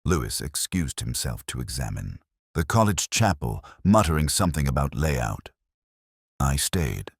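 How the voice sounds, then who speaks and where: Male narrator, extremely low voice with lively intonation, measured and formal delivery for literary detective fiction. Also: slightly rough, no echo, clean studio sound. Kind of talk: scripted first-person mystery narration.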